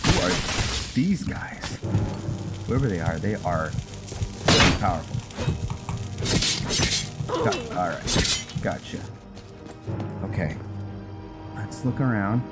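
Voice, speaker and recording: A man with a very low voice gives casual commentary on a game he is playing. He takes his time, thinking aloud in short steps as he goes. Loud music plays behind his voice throughout. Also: expressive, slow pace, long pauses. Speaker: gamer hosting a roleplay playthrough.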